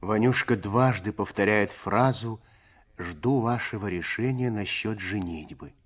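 A man narrating a literary story for a radio play in a measured, expressive storytelling manner. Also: fairly low pitch.

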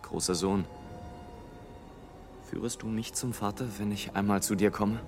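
An actor playing an exalted airship pilot in a literary radio play, declaiming poetically and theatrically. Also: male voice, fairly low pitch, steady tone, a little slow. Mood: hushed awe. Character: exalted male airship pilot, wildly agitated, then awestruck and solemn. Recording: 1980s radio drama production with music.

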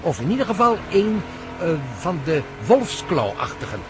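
An actor playing an excitable, pedantic male professor in a radio play, lecturing precisely with dramatic pauses. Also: sits high. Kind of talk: Dutch radio play acting. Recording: noisy radio play, engine drone.

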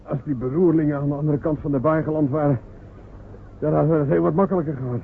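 Radio drama casting: fearful whining villager, high voice, tinny hissy recording. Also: male voice, steady tone, fast, creaky. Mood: grumbling. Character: timid, faltering villager man, fearful and whining. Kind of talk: radio play acting. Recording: tinny noisy old radio play recording.

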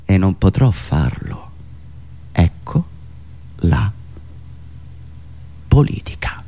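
A man performing a theatrical satirical monologue, ironic, with dramatic pauses and emphatic swings from hushed asides to raised delivery. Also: sits low, big pitch swings. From Italy. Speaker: writer and performer of a satirical radio monologue show.

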